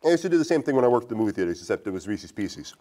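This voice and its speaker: A man's voice: fairly low pitch, very animated, rapid-fire, dark tone. A man hosting a casual movie discussion in a playful, bantering manner. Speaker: podcast host.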